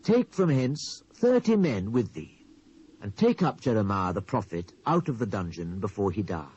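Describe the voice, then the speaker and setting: A man's voice: lowish voice, sing-song, moderate pace, darkish tone, husky. Man acting wavering British king, muffled recording. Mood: commanding. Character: uneasy, wavering male king of ancient Judah. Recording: dull lo-fi old cassette transfer.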